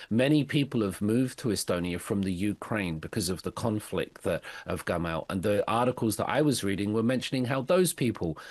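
A man's voice: fairly low pitch, no echo. A man talking casually as a radio guest, chatty and self-deprecating. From Britain. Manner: chatty, self-deprecating, stumbles over foreign words.

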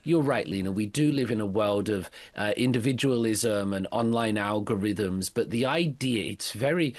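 Chatty man, low voice. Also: moderate pace, no room sound. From Britain. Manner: chatty, self-deprecating, stumbles over foreign words. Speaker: radio guest commentator. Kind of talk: casual radio chat.

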